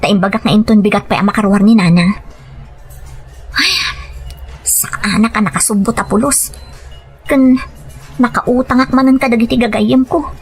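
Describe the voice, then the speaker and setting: A woman's voice: fairly high pitch, fairly even intonation, moderate pace, long pauses, husky, soft background music. A woman playing a scheming, coaxing gambler wife with an Ilocano accent in a dramatic audio story. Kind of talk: radio-style drama acting.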